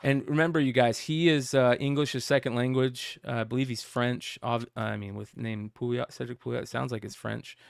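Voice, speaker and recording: A man hosts a casual comic news show, rambling through the news and reading out a message in his plain voice. His voice is gravelly yet smooth and resonant, at a middle pitch. He sounds close to the mic in a dry, dead room. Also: steady tone, a little fast, few pauses, no echo. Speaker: host.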